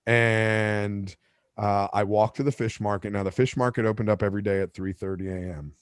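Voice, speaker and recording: A man's voice: fairly low pitch, fairly even intonation, normal speed, clear, resonant tone, no echo. A man whose first language is Spanish, hosting a podcast and telling a long story in a casual, rambling way with lots of asides and restarts. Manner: rambling, self-correcting, with asides. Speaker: podcast host.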